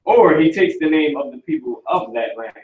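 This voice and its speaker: A man's voice: on the high side, animated, normal speed, narrow, tinny audio, slight background noise. A young man leading a live class, talking in loose, profane street speech and pressing his students with questions. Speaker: class teacher. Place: classroom with stage and banners, indoors. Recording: echoey room, voice from a distance.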